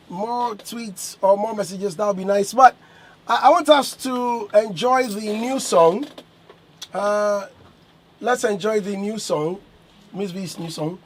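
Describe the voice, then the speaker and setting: A man's voice: high voice, slight background noise. A man hosting a morning radio show, playful, reading out listener messages to his guest. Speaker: radio host.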